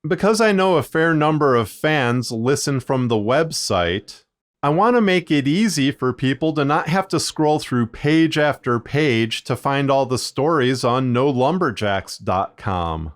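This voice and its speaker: A man with a smooth, clean voice at a medium pitch narrates a how-to guide. He takes his time, moving methodically through each point. The delivery is scripted but conversational and plain-spoken. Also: lively intonation, a little slow. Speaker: podcast creator and tutorial narrator.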